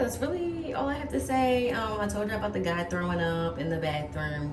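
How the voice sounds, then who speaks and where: A woman flight attendant chatting casually to her phone camera in a travel vlog, bubbly and full of fillers. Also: fairly low pitch. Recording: roomy sound with background noise.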